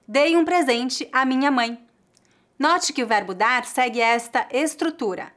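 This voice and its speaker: A Brazilian woman teacher with a slightly high voice, speaking in a clear and measured way. She reads out grammar examples one at a time, enunciating each word carefully in the even cadence of a prepared lesson. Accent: Brazilian Portuguese. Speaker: Portuguese teacher.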